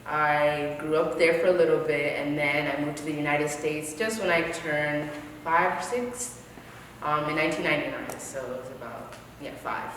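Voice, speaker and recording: Kenyan-born woman, low voice, chatty guest, laughs a lot, super echoey room. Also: normal speed, fairly bright tone, slight background noise. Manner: chatty, laughs often, trails off with fillers. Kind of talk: casual interview chat.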